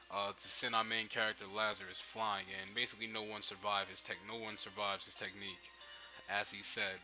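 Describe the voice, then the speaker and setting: A man casually narrating a screen-recorded tutorial, thinking aloud in an informal way on a hissy home microphone. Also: lowish voice. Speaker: game-making tutorial host.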